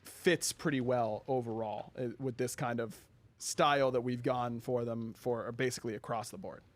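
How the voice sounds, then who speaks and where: A man's voice: medium pitch, steady tone, normal speed. A man hosting a casual video game showcase, commenting in an easygoing, approving way. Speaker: show host.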